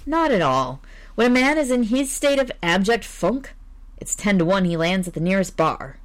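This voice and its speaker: A woman voicing a suave, calm male amateur detective who reasons things out deliberately. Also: mid-range voice, lightly acted. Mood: calm reasoning.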